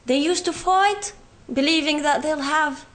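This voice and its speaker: A young woman with a Palestinian British accent, giving an earnest talk on her own experience, often pausing with fillers. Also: high voice, fairly bright tone. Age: young adult. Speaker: guest speaker. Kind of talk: university talk from personal experience.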